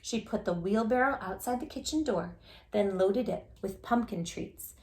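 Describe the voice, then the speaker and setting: A woman's voice: mid-range voice, moderate pace. A woman hosting storytime, reading a picture book aloud expressively and chatting playfully with young listeners. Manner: playful, animated, addressing children directly. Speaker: children's storytime reader and host.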